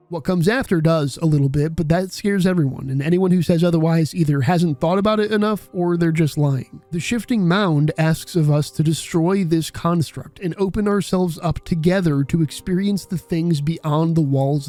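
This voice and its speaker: A young man in his twenties narrates a video essay in an earnest, contemplative voice-over. His voice sits a little high for a man, with a mellow, dark tone. Faint music plays underneath. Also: moderate pace, hardly any pauses. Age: 20s. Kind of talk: reflective scripted narration.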